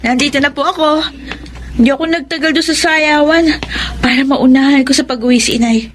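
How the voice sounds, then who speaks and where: A female actor plays a sweet young girl, somebody's granddaughter, in a melodramatic radio play. Her voice is very high and full of feeling, with soft music behind. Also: fairly even intonation, fairly quick, lightly acted. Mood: worried, frightened. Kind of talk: Tagalog radio drama acting.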